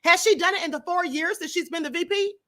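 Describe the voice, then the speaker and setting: A woman's voice: extremely high pitch, quick pace, bright, forceful tone. A middle-aged woman hosting her own livestream, giving opinionated commentary and firing rhetorical questions at her audience. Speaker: livestream show host. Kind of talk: opinionated commentary to a live chat.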